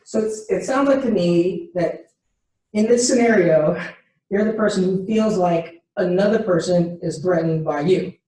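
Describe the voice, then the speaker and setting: A woman's voice: fairly low pitch, measured pace, mellow. A woman instructor teaching a group, patiently walking through examples and voicing both sides of sample conversations. Her voice comes from far off in a very echoey classroom. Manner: explains patiently, acting out example dialogue. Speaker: workshop instructor. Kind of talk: interactive teaching session.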